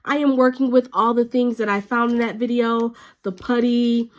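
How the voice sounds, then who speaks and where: A woman hobby vlogger who is a little sick and a bit raspy, chatting casually with lots of fillers while she works at her craft desk at home. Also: fairly high pitch, moderate pace. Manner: chatty, relaxed, frequent fillers.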